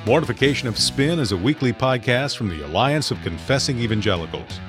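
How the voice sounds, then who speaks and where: A man's voice: mid-range voice, lively intonation, a little fast. A man reading a scripted podcast intro in a polished announcer manner. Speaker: show announcer. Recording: clear guitar music behind.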